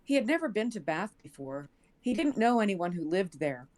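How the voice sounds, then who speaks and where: A woman's voice: mid-range voice, very animated, normal speed, a little mellow. An American woman reading fiction aloud as a storyteller. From United States. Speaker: show cast member reading a story aloud.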